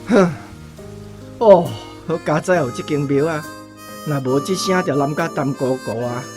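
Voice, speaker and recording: A man's voice: a little high, measured pace, over music, lightly acted. A man playing a doting old village grandfather in a radio drama, fussing caringly with a Taiwanese accent. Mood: relieved.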